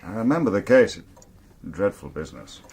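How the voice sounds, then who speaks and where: An actor with a British accent playing an earnest, slightly pompous upper-class sheriff in a radio drama. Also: male voice, fairly low pitch, big pitch swings, normal speed, background noise. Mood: apologetic, grave. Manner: formal, well-spoken, slightly pompous. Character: upper-class male sheriff, earnest, stuffy, gently teasing. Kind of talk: BBC radio drama acting.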